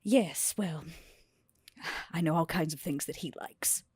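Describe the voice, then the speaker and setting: A woman playing her character in a tabletop game, haughty and measured. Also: deep voice, a little mellow, breathy edge, no echo, studio quality. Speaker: player. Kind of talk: in-character roleplay.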